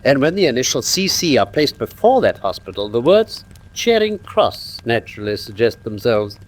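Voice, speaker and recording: An actor with an English accent playing a confident, teasing gentleman detective in a classic radio drama, speaking precisely and with theatrical condescension. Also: medium pitch, very animated, normal speed. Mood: coolly superior.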